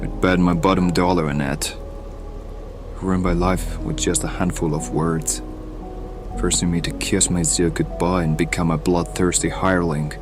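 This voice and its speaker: An actor playing a bitter, world-weary mutant mercenary in an audio drama, grumbling with coarse sardonic resentment. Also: male voice, low-pitched, little pitch movement, normal speed, close to an everyday voice. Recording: music under the voice.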